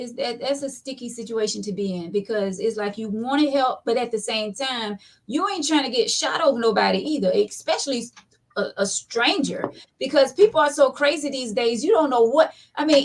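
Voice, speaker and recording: Woman, slightly high voice, careful and hesitant, casual panel chat. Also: expressive, brisk. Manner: thoughtful, hesitant, restarts while weighing her words. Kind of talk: casual group discussion.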